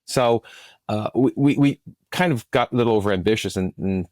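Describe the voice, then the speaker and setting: Male producer as interview guest, deep voice, dry close sound, conversational and explanatory. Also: moderate pace, darkish tone, no room sound. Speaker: interview guest, film and audio drama producer.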